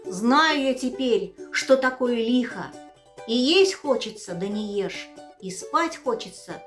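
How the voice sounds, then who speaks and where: Женщина изображает хитрого деревенского кузнеца. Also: female voice, on the high side, animated, slow pace, fairly bright tone, soft background music. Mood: wise. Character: bold, crafty male village blacksmith.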